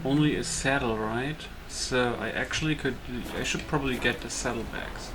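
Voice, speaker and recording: A man's voice: medium pitch, normal speed, background noise. A man giving casual gameplay commentary, thinking aloud in short, broken remarks. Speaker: gamer, video host. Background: game music.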